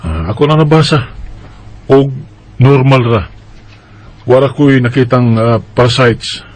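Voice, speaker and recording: A man's voice: mid-range voice, unhurried, a little mellow, close to an everyday voice. A man with a Cebuano Bisaya accent playing a matter-of-fact, dismissive but reassuring doctor in a radio drama. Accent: Cebuano Bisaya Filipino.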